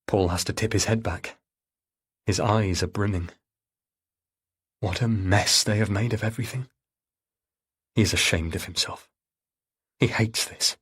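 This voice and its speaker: A man narrating a thriller audiobook in a measured, dramatic way. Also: fairly low pitch, steady tone, slightly rough.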